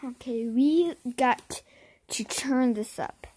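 High-pitched child, slow and halting. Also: darkish tone, breathy edge. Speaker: video host. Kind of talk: casual phone app demo.

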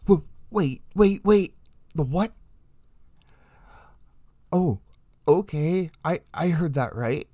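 A man's voice: a little high, animated, takes it slowly, long pauses, dark tone, no room sound, clean studio sound. A man voicing a flippant, nervy captive with a sarcastic, glib way of talking. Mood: panicky, pleading.

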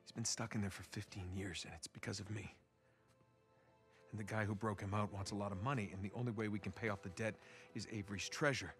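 A man's voice: lowish voice, fairly even intonation, a bit bright, natural voice. An actor playing a stubborn, earnest American treasure hunter in his thirties, in cinematic video game dialogue. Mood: sheepish, halting. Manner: halting, pleading when cornered. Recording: music under the dialogue.

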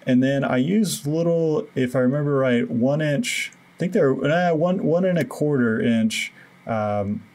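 Casual man narrating his DIY build. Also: mid-range voice. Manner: relaxed, self-deprecating, many fillers. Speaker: DIY renovation vlogger. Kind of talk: casual voice-over walkthrough.

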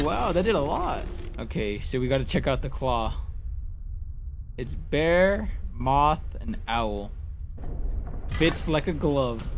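A man giving casual, informal and sweary commentary as he plays a fantasy video game. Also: fairly high pitch. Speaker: gaming video creator. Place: indoor room, webcam gaming setup. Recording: noisy, game sounds behind voice.

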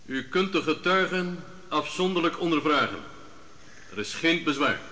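Stern judge, formal, slightly high voice, hissy old radio recording. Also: male voice, lively intonation, moderate pace, sharp tone. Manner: formal, officious.

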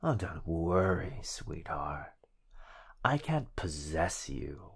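A man voicing a possessive, teasing living shadow in an ASMR roleplay, breathy and whispery, drawing out his menacingly sweet words. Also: sits low, very animated, exaggerated voice. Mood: teasing, sinister. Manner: drawn-out, menacingly sweet delivery.

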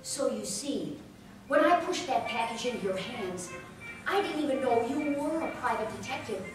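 A woman's voice: a little high, animated, moderate pace, lightly acted. Woman as nervous young singer, urgent, radio play, echoey room, distant mic, background music. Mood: worried. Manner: urgent, worried. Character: anxious young woman singer mixed up with spies. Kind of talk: live 1940s radio play acting. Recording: echoey hall from far off with music.

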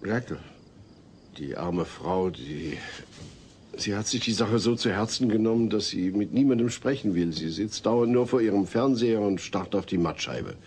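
A man's voice: lowish voice, lively intonation, normal speed, darkish tone. A man playing a worried, earnest suburban father who speaks hesitantly in a German comedy audio drama. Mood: concerned. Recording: faint noise, old cassette audio drama.

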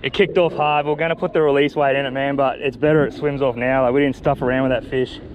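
An Australian man chatting excitedly and casually in slang with a friend while out on a small fishing boat. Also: medium pitch, quick pace, muffled recording. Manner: laid-back slang, often laughing and exclaiming. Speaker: fisherman filming a fishing vlog. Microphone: action camera worn on the body. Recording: outdoor boat noise, engine and water.

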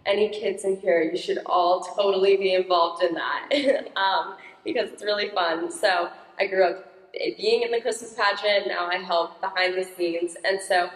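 A teenage girl speaking as a guest, with a naturally loud, upbeat voice, into a handheld microphone in a church. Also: mid-range voice. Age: teens. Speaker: young parishioner guest. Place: large church sanctuary. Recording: echoey church hall.

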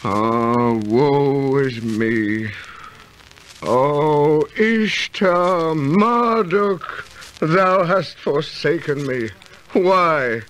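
An actor playing an aggrieved, self-pitying Babylonian merchant in an old radio drama, declaiming and lamenting in archaic, formal speech. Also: male voice, a little high, extremely slow, a bit bright. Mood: anguished lament. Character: aggrieved, self-pitying ancient Babylonian merchant, turning defiant against his gods. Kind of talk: golden-age radio drama acting. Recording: old radio recording with faint noise.

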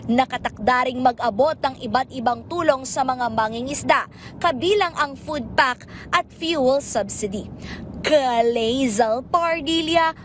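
A woman with a high, bright-toned voice reads a broadcast news report. Her delivery is measured and formal, paced for television. A faint hiss of noise sits under the voice. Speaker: field reporter.

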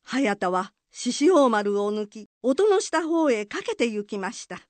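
A woman's voice: fairly high pitch, big pitch swings, measured pace, a little mellow, no echo. A woman narrating a folk tale for a radio drama in scripted storytelling style.

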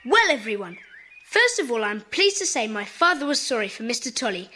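A confident British schoolboy takes charge briskly and kindly. The voice is high and bright, as in a children's audio play. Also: female voice, very animated, soft background music. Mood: confident, encouraging. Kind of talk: children's audio drama acting.

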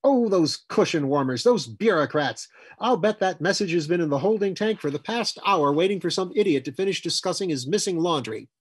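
A man voicing a feisty, sharp-tongued old grandmother aboard a spaceship in an audiobook, brisk and folksy. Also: mid-range voice, breathy edge, dry recording, clean studio sound. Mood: exasperated, fuming.

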